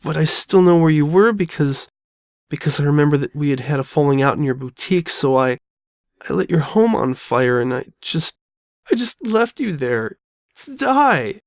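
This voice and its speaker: An anxious young mare played by a man talks earnestly and hesitantly. The delivery is lively in pitch and studious in temper. It sounds like a cartoon-pony drama in a dry, close space. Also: moderate pace, no room sound. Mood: guilty, upset. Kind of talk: dramatic fan fiction reading.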